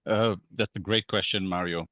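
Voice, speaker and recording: Man, lowish voice, measured, hesitant fillers, dry muffled recording. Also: fairly even intonation, no echo. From Hong Kong. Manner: measured, many fillers and restarts. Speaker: guest real estate investor. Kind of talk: podcast interview.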